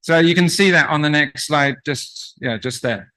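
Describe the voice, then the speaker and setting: A man's voice: on the high side, normal speed, sharp tone, low-quality recording. An Englishman giving a conference presentation with an English accent, drawing the audience in and having them read aloud with him. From England. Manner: engaging, asks audience to read along.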